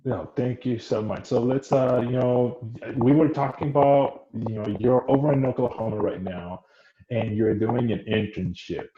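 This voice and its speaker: A man's voice: medium pitch, dark tone. Man hosting a podcast in a roomy, muffled recording. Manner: talks slowly, stacks up questions with restarts. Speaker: podcast host. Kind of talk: interview podcast. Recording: roomy, muffled audio with faint noise.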